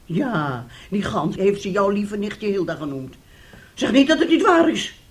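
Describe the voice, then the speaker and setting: A woman's voice: medium pitch, very animated, a little slow. A woman acting in a radio drama as a bitter, domineering, self-pitying mother, scolding melodramatically. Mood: scornful.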